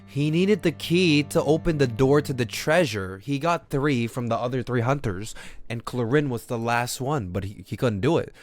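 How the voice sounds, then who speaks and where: A man's voice: mid-range voice, moderate pace, soft background music. A man streaming a game, giving casual live commentary in a slangy, incredulous way.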